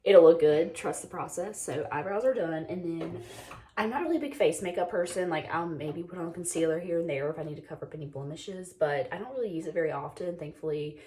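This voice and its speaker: A woman's voice: lowish voice, a little fast, dark tone. A woman in her twenties, a bit sick and losing her voice, chatting casually through a makeup routine with lots of "like" and "um.". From North Carolina, US. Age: 20s. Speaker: beauty vlogger. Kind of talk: casual get-ready-with-me chat.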